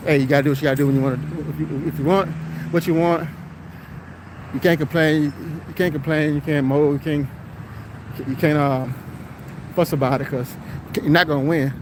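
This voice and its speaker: An American man rambling casually on a livestream, repeating his phrases for emphasis in an encouraging, preacherly way. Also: a little high. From South Florida, United States. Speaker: livestreamer and commercial driver. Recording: noisy outdoor wind and traffic noise.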